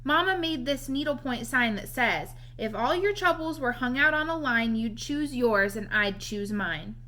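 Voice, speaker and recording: A woman's voice: a little high, animated, measured pace, bright, forceful tone. A woman voicing a nosy, kindhearted little boy in a children's storybook, speaking in folksy, matter-of-fact advice. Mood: earnest. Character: earnest, nosy little red-headed boy, kind and persistent.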